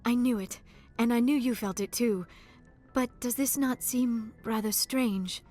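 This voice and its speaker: A woman's voice: fairly high pitch, unhurried, darkish tone, soft background music. An actress playing an earnest, shy female alien in a video game, speaking formally and carefully, with some hesitation. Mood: wondering, intimate. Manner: formal, careful, hesitant phrasing without contractions. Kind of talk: video game cutscene dialogue acting.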